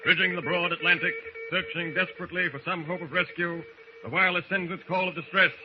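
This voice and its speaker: Male narrator, high pitch, dramatic scripted delivery, dull old-time radio sound. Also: steady tone, quick pace, noisy background.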